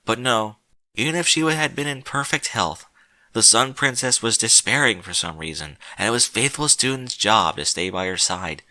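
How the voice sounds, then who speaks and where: A man narrating a story in a slow, solemn, dramatic way with deliberate pauses. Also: lowish voice, expressive, pushed and bright.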